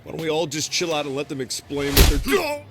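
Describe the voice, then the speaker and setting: An actor playing a brash, sardonic and streetwise police detective in a video game, casual and wry. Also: male voice, a little high, big pitch swings, quick pace, very noisy. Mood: placating.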